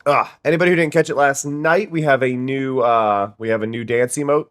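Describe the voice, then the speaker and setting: A young adult streamer, sniffly today, chatting casually with viewers and restarting sentences often. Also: male voice, medium pitch, steady tone, a little fast, a bit bright, no echo, studio quality. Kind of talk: casual gameplay chat.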